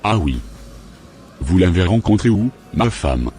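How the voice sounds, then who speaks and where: Low-pitched straying husband, acted by a man. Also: sing-song, a little slow, mellow, faint music behind. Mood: evasive. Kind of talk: scripted cartoon dialogue.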